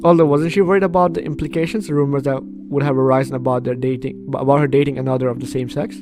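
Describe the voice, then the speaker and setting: A man's voice: mid-range voice, steady tone, fast. A man doing playthrough commentary, reading game text dramatically and breaking off into reactive asides. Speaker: gaming YouTuber. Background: game music.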